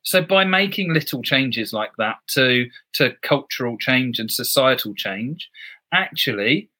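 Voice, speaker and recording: A man with a bright, forceful voice, talking on a podcast. He is reflective and builds his argument point by point. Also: medium pitch, lively intonation, a little slow. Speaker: driving instructor guest. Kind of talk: podcast discussion.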